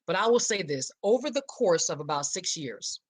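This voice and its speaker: A middle-aged American woman from Philadelphia telling her own story on a live show in an emphatic, expressive, high-energy way. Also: normal speed, slightly rough. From Philadelphia, United States.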